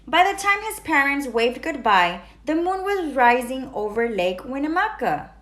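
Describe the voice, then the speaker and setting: A woman's voice: high-pitched, very animated, a little slow, a bit bright. A woman reading a children's storybook aloud, expressively and with emphatic sound words. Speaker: teacher reading children's books aloud, channel host. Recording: roomy echo.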